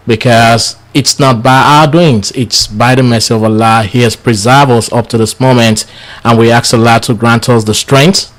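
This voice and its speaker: A man whose first language is Yoruba delivers a religious lecture in English, with a voice of middling pitch. He sounds a little tired and speaks earnestly. He often stumbles and starts his words over. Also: rough recording. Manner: earnest, halting with restarts. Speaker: Islamic lecturer. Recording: studio lecture for radio/TV.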